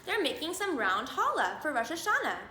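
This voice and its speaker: A woman's voice: sits high, very animated, voice pushed up, heavily acted. Woman as a patient mother spider, echoey room. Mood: explaining. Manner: measured, instructive, gently chiding. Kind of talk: children's storybook read-aloud. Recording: roomy echo, background noise.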